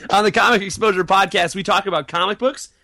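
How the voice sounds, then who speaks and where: A male podcast host opens the show with a bright, forceful tone and a rather high voice. His delivery is jokey and upbeat, recorded dry and close to the mic. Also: fairly quick, dry recording. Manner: jokey, upbeat, laughs often. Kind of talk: casual podcast chat.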